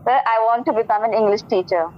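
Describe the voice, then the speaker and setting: A woman's voice: mid-range voice, fast, a little hiss or hum. Young Indian woman on a dull, lo-fi call. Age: young adult. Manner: polite, eager, hesitant, frequent fillers. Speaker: student. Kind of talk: conversation practice. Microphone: video call.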